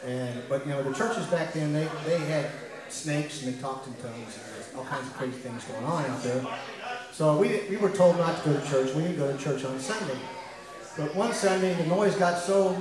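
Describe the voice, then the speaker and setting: A man's voice: on the high side, normal speed, a crowd in the background. An American man from West Virginia, a singer-songwriter, tells a rambling, wryly humorous story in a casual way from a small pub stage. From West Virginia, United States. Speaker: singer-songwriter, live performer. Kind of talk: casual storytelling between songs. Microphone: far camera, amplified stage voice. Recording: echoey live room, distant camera microphone.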